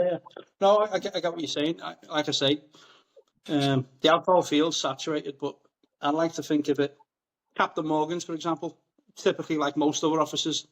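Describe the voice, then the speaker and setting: Man, podcast guest, upbeat and rambling, voice a touch high. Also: a bit bright. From Liverpool, England. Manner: enthusiastic, repeats words and restarts often. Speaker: guest, rum brand founder. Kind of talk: casual podcast conversation.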